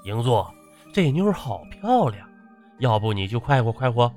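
A man's voice: on the low side, very animated, normal speed, soft background music. A man voicing a fawning, servile army officer who reports to his commander in an audiobook. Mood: sly, fawning. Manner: deferential, ingratiating. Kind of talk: audiobook character acting.

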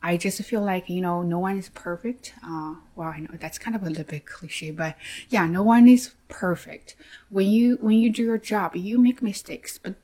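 A woman from China with a Chinese accent, an English teacher talking through personal thoughts in a soft, hesitant way with many fillers and restarts. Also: mid-range voice, moderate pace. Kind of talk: reflective personal monologue.

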